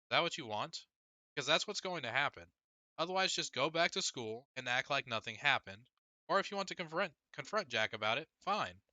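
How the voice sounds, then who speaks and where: Guy reading as fed up teenage big sister, pushy and pleading, audiobook dialogue, really sharp voice. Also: a little high, animated, brisk, no room sound, voice pushed up. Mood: warning. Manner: emphatic, pleading, argumentative. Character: exasperated, insistent teenage girl, an older sister.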